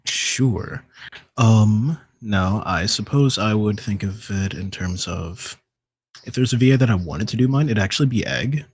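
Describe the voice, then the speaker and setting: A man chatting casually as a podcast guest in a measured, articulate manner. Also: on the low side, expressive, breathy edge, dry recording. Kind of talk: casual chat.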